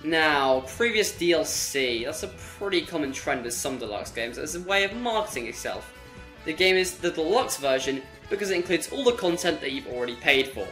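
A man's voice: fairly high pitch, sing-song, normal speed, bright, forceful tone, soft background music. A teenage male video host reading a scripted game review in a wry, tongue-in-cheek manner.

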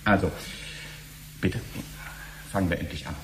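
Pompous, low-voiced official. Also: male voice, measured pace, a bit bright. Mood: indignant. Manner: officious, bureaucratic, hesitant fillers. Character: pompous, fussy middle-aged male government official. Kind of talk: 1970s German radio drama acting. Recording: slightly noisy old radio drama recording.

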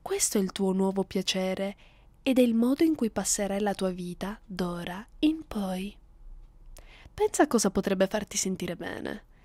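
A woman's voice: medium pitch, animated, a little slow, mellow, no echo. A woman playing a cruel, seductive vampire mistress in an ASMR roleplay, speaking commandingly and often close to a whisper. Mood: coaxing, domineering. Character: domineering, cruel, seductive vampire mistress addressing her human captive.

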